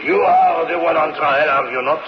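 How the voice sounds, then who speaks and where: Man acting presiding French official, radio drama, formal, questioning, raised pitch, noisy vintage recording. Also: moderate pace, muffled recording, lightly acted. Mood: stern, formal. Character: stern, official French man of authority presiding over proceedings.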